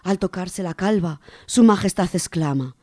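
A woman with an accent from Spain, presenting and reading children's stories on the radio in an expressive, dramatic way. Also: on the low side, normal speed, no room sound, studio quality. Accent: Spanish from Spain. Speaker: radio storytelling contributor. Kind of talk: children's book reviews and expressive story reading. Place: radio studio.

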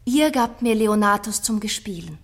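An actress playing a passionate, devoted and defiant young princess in a classic radio play, declaiming with intensity. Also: female voice, medium pitch, lively intonation, normal speed. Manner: declamatory, intense, dropping into breathy whispers.

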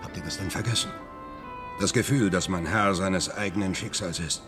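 A man's voice: low-pitched, moderate pace, over music, close to an everyday voice. An actor playing a persuasive, defiant and theatrical man in a radio drama, speaking with insistent rhetoric. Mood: passionate, goading.

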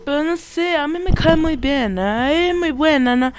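A woman's voice: sits high, animated, unhurried, no echo. Chatty young Argentine friend, acted by a woman. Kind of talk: improvised radio theatre acting.

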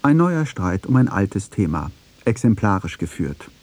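A man narrates a documentary feature from a script. His voice sits fairly low and has a dark, mellow tone. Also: very animated, a little slow.

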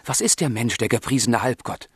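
An actor playing a passionate, despairing young man in a literary radio drama, with a husky voice and theatrical delivery. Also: medium pitch, expressive, a little fast, dry recording, studio quality. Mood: anguished, brooding. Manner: anguished, theatrical, swinging from hushed to impassioned.

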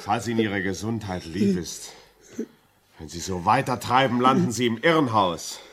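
A man's voice: on the low side, normal speed, fairly bright tone, breathy edge. An actor playing a rational, skeptical man who admonishes firmly and emphatically, in a 1950s German radio drama. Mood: alarmed, urgent. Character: rational, brisk skeptical visitor, firmly urging calm. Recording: early 1950s radio play recording.

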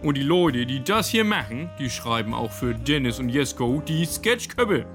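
A man's voice: medium pitch, sing-song, normal speed. An actor playing a folksy, slightly bumbling male radio announcer, chummy and rambling in comic outro banter. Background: guitar music.

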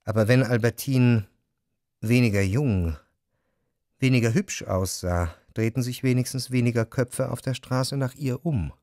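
An actor playing a refined, jealous, brooding man who narrates his memories in a measured, articulate radio play performance. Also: mid-range voice, a little mellow, no room sound, clean studio sound, close to an everyday voice. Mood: wistful, brooding.

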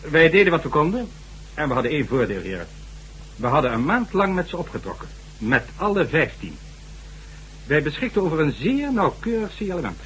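High-voiced, sardonic male government official. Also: big pitch swings, a little fast, a bit bright, poor audio. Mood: grave, recounting. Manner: sardonic, condescending, formal, lecturing. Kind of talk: Dutch radio drama acting. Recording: faint hum and noise, old radio recording.

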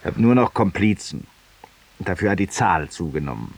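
Haunted, ironic gentleman in a 1950s radio play: deliberate, confiding, ceremonious; slightly distant, hissy recording. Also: low voice, lively intonation, gravelly. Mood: weary, bitter. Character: weary, haunted, ironic Parisian man confessing to a stranger. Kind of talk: 1950s German radio drama monologue.

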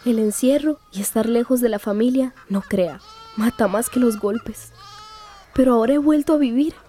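Casting: woman as timid, plaintive teenage girl for radio drama; high pitch, gentle dark tone. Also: moderate pace, natural voice. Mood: relieved, hopeful. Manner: earnest, plaintive. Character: timid, mistreated teenage girl longing for freedom.

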